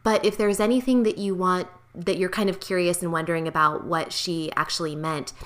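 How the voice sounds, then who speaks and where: A woman podcast host with a high-pitched voice, introducing an episode and interviewing a guest. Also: fairly even intonation, fairly quick, fairly bright tone. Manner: friendly, encouraging, says mm-hmm often.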